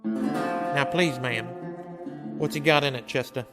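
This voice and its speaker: An actor playing a steady frontier town marshal, commanding but gentle, in a Western radio drama. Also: male voice, medium pitch, slow pace, over loud music, lightly acted. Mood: steady, reassuring.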